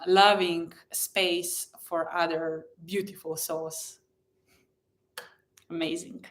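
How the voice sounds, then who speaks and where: A woman who is a native Slovenian speaker, hosting a casual live chat in a gentle, encouraging and effusive way, often pausing mid-thought. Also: mid-range voice. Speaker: live stream host and blogger.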